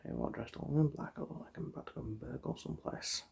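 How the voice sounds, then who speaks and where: A man speaking in a soft, breathy half-whisper with a husky, gravelly edge, gently reassuring and chatty as he plays an eye examiner in an ASMR roleplay. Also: mid-range voice, quick pace, slight background noise.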